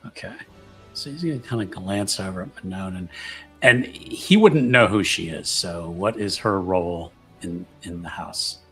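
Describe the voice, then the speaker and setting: A man's voice: deep voice, normal speed, fairly bright tone, faint music behind. A man taking part in a tabletop roleplaying game as a player, casually describing what his character does.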